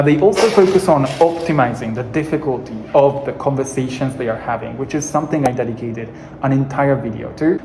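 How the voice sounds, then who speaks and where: A man's voice: mid-range voice, background noise. A young adult man from Hungary with a Hungarian accent, speaking English as a second language, giving an earnest, encouraging teaching monologue as an English coach.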